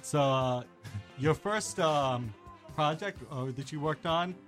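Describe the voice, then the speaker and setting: A man hosting a radio show in a casual interview style, speaking informally and haltingly. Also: a little high, fairly bright tone, faint music behind.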